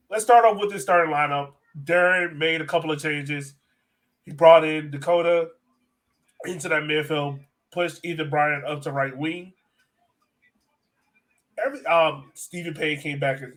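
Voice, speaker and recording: A man hosting a sports podcast in a casual, animated, slangy and joking way. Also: a little high. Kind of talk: casual chat.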